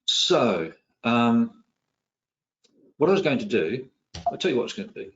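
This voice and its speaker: A middle-aged man from England, his accent softened by years in New Zealand, giving an online talk as a psychiatrist, with many ums, restarts and dry asides. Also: mid-range voice, very animated, measured pace, highs cut off. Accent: English, with some New Zealand influence. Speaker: psychiatrist and presenter. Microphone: video call.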